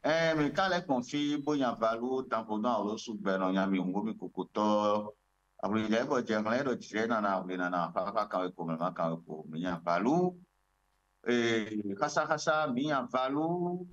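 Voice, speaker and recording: A man's voice: on the low side, normal speed, muffled recording. A man with a Beninese accent, a traditional spiritual leader giving a ceremonial address as an interview guest. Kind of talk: ceremonial address and ritual invocation.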